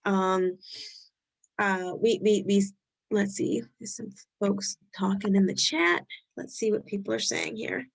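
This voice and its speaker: A woman with a Rhode Island accent hosting a live health webinar, talking loosely and thinking out loud as she goes. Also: medium pitch, measured pace, creaky, rough recording. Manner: loose, conversational, thinks aloud while reading the chat. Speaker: webinar host.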